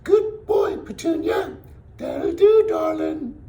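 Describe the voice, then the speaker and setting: A man voicing a feisty, motherly girl bear trainer in a children's storybook, with shrill, fussing, folksy speech. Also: expressive, slow, dark tone, heavily acted. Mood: firm, coaxing.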